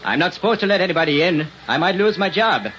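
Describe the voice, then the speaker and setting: An actor playing a fretful, gruff but soft-hearted old night watchman in a radio drama, speaking in short clipped phrases. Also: high voice, lively intonation, fast talker. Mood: reluctant. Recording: muffled, noisy old radio recording.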